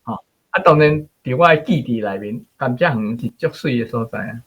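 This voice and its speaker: A man's voice: mid-range voice, animated, brisk, fairly bright tone, slightly rough, highs cut off. A man with a Taiwanese accent talking informally and explanatorily as a guest commentator. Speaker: guest commentator recalling rural memories.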